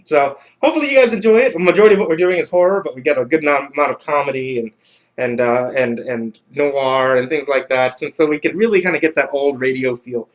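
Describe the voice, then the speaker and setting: A man hosting a livestream in casual chat, halting and often repeating his words. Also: medium pitch, low-quality recording. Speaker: livestream host.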